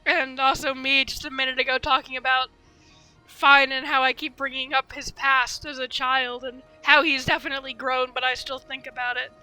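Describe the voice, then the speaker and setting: Very bright, high-voiced woman game streamer. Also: level tone, normal speed, clean tone, rough recording. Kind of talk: live commentary on a story game. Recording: faint game music behind.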